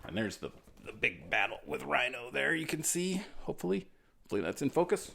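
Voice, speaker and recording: Man, mid-pitched voice, lively intonation, friendly, encouraging, children's storytime reader. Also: a little fast, gravelly, a little hiss or hum. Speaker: writer reading his children's story. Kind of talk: animated storytime reading with casual asides.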